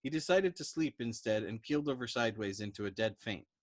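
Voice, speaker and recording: A man reading a novel aloud in an expressive storytelling style. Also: mid-range voice, a little fast, no room sound, clean studio sound. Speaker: storytime reader. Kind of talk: expressive audiobook narration of a novel.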